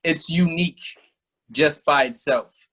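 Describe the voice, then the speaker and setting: A man's voice: on the high side, takes it slowly, a bit bright, slightly rough. A man from Albany interviewing a guest, speaking loosely with many fillers. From Albany, New York. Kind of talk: casual conversation.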